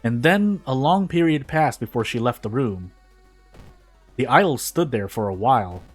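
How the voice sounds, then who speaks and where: A man's voice: mid-range voice, animated, moderate pace, faint music behind. A man informally reading a story aloud, now and then stumbling and rereading phrases. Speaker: fan fiction reader on a gaming video.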